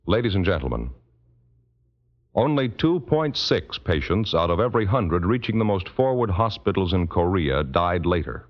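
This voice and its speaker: A man's voice: low voice, big pitch swings, moderate pace. A man announcing formally on 1950s radio, reading his script with solemn emphasis. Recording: dull lo-fi vintage radio broadcast. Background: music.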